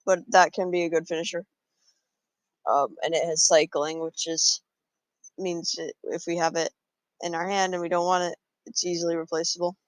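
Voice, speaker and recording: A man's voice: fairly high pitch, normal speed, no room sound. Man casually commentating a card game. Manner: relaxed, thinking aloud with fillers. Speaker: gameplay commentator.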